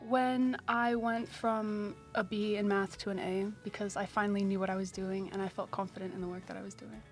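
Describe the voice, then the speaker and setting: A teenage girl from South Africa, a high school student, answering interview questions shyly and softly. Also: flat delivery, brisk, soft background music. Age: teens.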